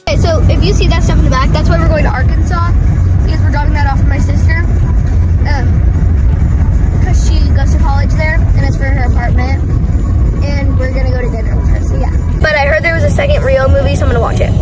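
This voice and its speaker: Teen girl vlogger, bright chatty delivery, high voice, phone selfie recording over background music. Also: fairly even intonation, fast, poor audio. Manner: chatty, upbeat, filler-heavy. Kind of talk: casual day-in-my-life vlog. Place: inside a car. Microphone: phone held at arm's length.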